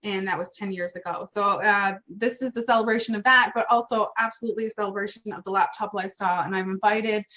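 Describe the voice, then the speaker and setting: A woman's voice: medium pitch, fairly even intonation, fast talker, hardly any pauses, pushed and bright. A middle-aged woman hosting an online interview in a chatty, enthusiastic, casual way. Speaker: host interviewing a guest. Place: remote online call. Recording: dull, lo-fi online call audio.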